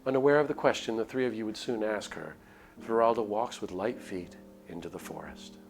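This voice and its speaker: A man's voice: on the low side, fairly quick. A man streaming a visual novel, reading the narration aloud expressively with casual asides. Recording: music under the voice. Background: game background music.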